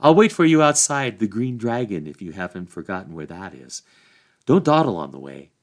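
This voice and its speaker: A man's voice: fairly low pitch, big pitch swings, normal speed. A man voicing a plain-spoken, loyal male hobbit with a scolding, folksy manner in a storybook reading. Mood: mocking.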